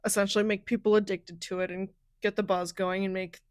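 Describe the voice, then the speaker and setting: A hoarse young woman hosting a podcast, chatting casually and rambling with lots of fillers. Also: medium pitch, flat delivery, fairly quick, dry recording, clean studio sound. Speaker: podcast host. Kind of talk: casual chat.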